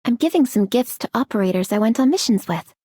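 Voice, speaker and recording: Mellow, husky friendly courier. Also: female voice, on the high side, expressive, fast, no echo, studio quality. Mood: friendly, upbeat. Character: friendly, busy female courier in a fantasy game. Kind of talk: game character voice line.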